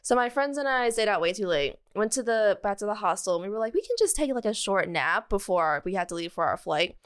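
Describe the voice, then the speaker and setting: A woman's voice: mid-range voice, animated, a little fast, smooth. A young woman co-hosting a podcast, chatting and telling stories in an informal, self-mocking way full of "like". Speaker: podcast co-host. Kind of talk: casual chat and storytelling.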